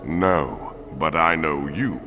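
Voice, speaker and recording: A man voicing a formal, measured four-armed alien lawman in a video game cutscene. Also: a bass voice, sharp tone, big-room sound, a little hiss or hum, heavily acted. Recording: game audio with music underneath.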